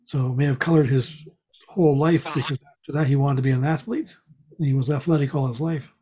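A man chatting casually and telling personal stories in a wry, unhurried way. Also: mid-range voice, steady tone, warm tone, breathy edge. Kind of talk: casual chat, personal storytelling. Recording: dull, muffled lo-fi sound.

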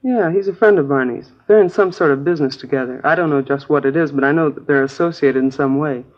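A woman's voice: deep voice, fast. An actress playing a chatty, naive American girlfriend in a 1950s radio crime drama, casual, rambling and a little vague. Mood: puzzled, open. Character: chatty, naive, easygoing working-class girlfriend of a crook. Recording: dull old radio broadcast recording.

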